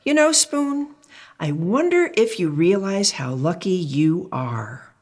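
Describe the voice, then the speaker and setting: A woman's voice: lowish voice, very animated, takes it slowly, darkish tone, full character voice. A woman voicing a doting, reassuring spoon parent from a storybook in a singsong, coaxing way. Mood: sympathetic, coaxing.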